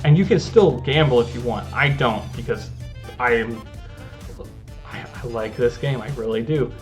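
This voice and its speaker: An easygoing man chats his way through a gameplay recording, circling back and restarting his thoughts as he goes. His voice sits at an ordinary middle pitch, and soft game music plays faintly underneath him. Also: normal speed. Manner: rambling, self-interrupting, easygoing. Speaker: gaming channel host. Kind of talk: casual gameplay commentary.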